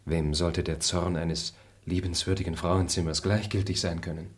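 Brief: a man as a low-voiced, formal, moralizing gentleman, radio play. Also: flat delivery, moderate pace, natural voice. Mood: lofty. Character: noble, high-minded male traveller. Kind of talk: radio drama acting.

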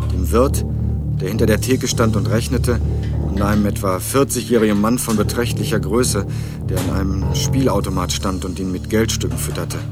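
An actor playing a young student in a radio crime drama, speaking in a dry, laconic, probing way. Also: male voice, fairly low pitch, natural voice. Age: young adult. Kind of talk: 1980s radio crime drama, scripted narration and dialogue.

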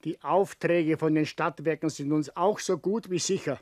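A man's voice: on the high side, fairly even intonation, normal speed, no room sound, studio quality, lightly acted. An actor with a Bavarian accent playing a stubborn, ailing, domineering old father and company boss in a 1960s German radio drama, blustering and grumbling. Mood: stubborn, scheming. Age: older. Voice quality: short of breath, coughing at times.

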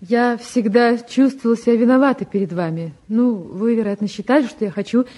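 An actress playing a sincere, gentle young bride in a 1950s Soviet radio drama, speaking earnestly and politely. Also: female voice, on the high side, brisk, close to an everyday voice. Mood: apologetic, earnest. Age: young adult.

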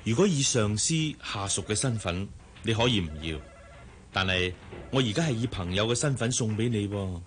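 An actor with a Hong Kong Cantonese accent playing a gentle, coaxing father and boss in a radio drama. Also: fairly low pitch, animated, moderate pace, a little hiss or hum, lightly acted. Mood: friendly, persuasive. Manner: soft, coaxing. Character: gentle, persuasive male boss and young father.

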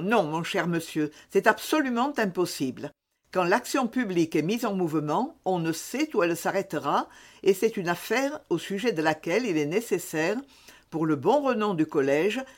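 A reader voicing a tall, thin, highly strung college lecturer who begs urgently for help. The pitch rises and falls a great deal as he pleads, and the tone stays even and unforced, as in an audiobook. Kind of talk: audiobook dialogue reading.

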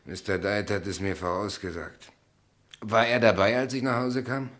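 A man as a low-voiced, reserved gentleman suitor. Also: steady tone, moderate pace, natural voice. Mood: groggy, embarrassed. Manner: hesitant, subdued, stiffly polite. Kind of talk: German radio play acting.

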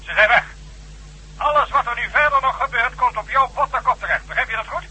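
An actor playing an irate, scornful government minister bellowing through a telephone filter in a radio drama. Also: male voice, very high-pitched, animated, fairly quick, pushed and bright, breathy edge, full character voice. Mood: angry. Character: irate, blustering government minister, male, foul-mouthed bully. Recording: thin, harsh telephone line.

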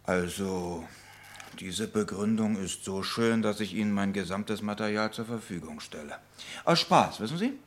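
An actor playing a sardonic, stubborn New York police detective in a vintage radio crime drama, with a pointed, emphatic delivery. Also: male voice, on the low side, lively intonation, normal speed, a little hiss or hum, close to an everyday voice. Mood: sarcastic.

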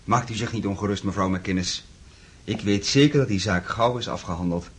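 An actor playing a calm, polite police inspector in a Dutch radio play, formal and matter-of-fact. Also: male voice, fairly low pitch, normal speed, lightly acted. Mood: calm, reassuring.

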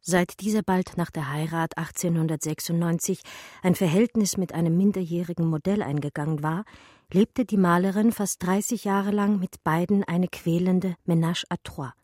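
An actress playing an educated art historian who recounts her love story in a radio drama, measured and eloquent. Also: lowish voice, steady tone, a little mellow, no room sound, clean studio sound, lightly acted. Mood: sober narrating. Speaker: narrator and lead character. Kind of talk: literary radio drama narration.

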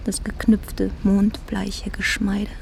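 A woman playing a melancholy lady in a radio drama, musing inwardly in a breathy half-whisper. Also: mid-range voice, completely flat delivery, slow, darkish tone. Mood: wistful, resigned. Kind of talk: radio drama inner monologue.

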